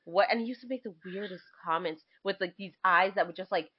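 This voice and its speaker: A woman's voice: fairly low pitch, fast talker, husky, lo-fi sound. A woman in her twenties chatting casually as a podcast co-host, telling anecdotes in a loose conversational way.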